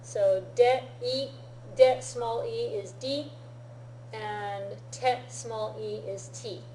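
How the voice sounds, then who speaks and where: Slow, patient woman teaching Japanese. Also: fairly high pitch, warm tone, slight background noise. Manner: unhurried, explains patiently, laughs often. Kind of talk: relaxed classroom-style lesson. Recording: echoey room.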